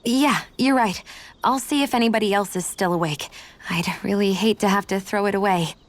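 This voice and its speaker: A woman playing a composed, quietly affectionate young adventurer in a video game, with a gently teasing manner. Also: medium pitch, a little fast, lightly acted. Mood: warm, wistful. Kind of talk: video game cutscene acting.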